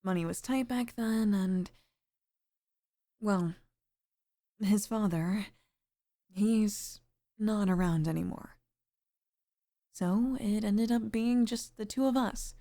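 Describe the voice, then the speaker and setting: A woman plays a sweet middle-aged single mom in an intimate ASMR roleplay. Her voice is mellow and unhurried, with soft pauses and sighs. She sounds affectionate, gently flirtatious and a touch lonely. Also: mid-range voice. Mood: wistful, tender.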